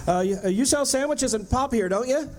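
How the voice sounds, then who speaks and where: A man's voice: very high-pitched, fairly quick, background noise. A man with an American accent playing an anxious, haunted driver in a radio drama, earnest and uneasy. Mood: nervous, pleading. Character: haunted, anxious male motorist narrating his road trip. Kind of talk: dramatic first-person radio drama narration and dialogue.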